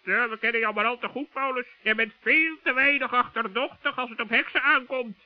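A man's voice: very high-pitched, a little fast, no room sound. A man playing a chatty, suspicious, blundering male raven in a children's radio play. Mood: warning. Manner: blurting, excitable, suspicious. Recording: dull, dry old radio recording.

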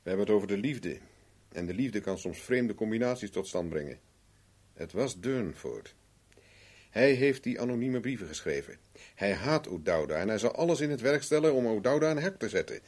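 Husky, self-assured male investigator. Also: on the low side, animated, normal speed, a little mellow. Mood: knowing, insistent. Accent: Netherlands Dutch. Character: self-assured male private investigator, shrewd, worldly, coolly protective. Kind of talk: 1970s Dutch radio play acting.

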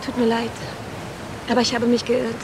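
A woman's voice: on the high side, monotone, noisy background, close to an everyday voice. An actress playing an anxious, polite young woman searching for answers in a radio drama, speaking hesitantly. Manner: hesitant, halting. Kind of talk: German radio drama acting.

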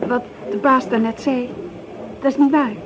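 An actress playing an earnest, loyal waitress who speaks plainly and a little hesitantly in a radio drama. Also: female voice, high voice, fairly even intonation, normal speed, natural voice. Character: earnest, loyal waitress defending an absent friend. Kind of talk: naturalistic radio drama dialogue. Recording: busy crowd noise around the voice. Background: café chatter.

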